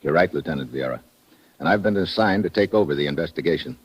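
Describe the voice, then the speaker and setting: An actor playing a tough, wry American correspondent investigator in a brisk, hard-boiled 1950s radio drama style. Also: male voice, a bass voice, animated, breathy edge, heavily acted. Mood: brisk, guarded. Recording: dull, noisy old radio recording.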